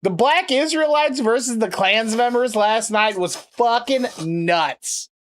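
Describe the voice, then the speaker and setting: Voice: mid-range voice, slow pace, a little hiss or hum. A prank caller and streamer chatting casually, with a sarcastic, crude, rambling way of talking. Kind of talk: casual livestream chat and prank calling.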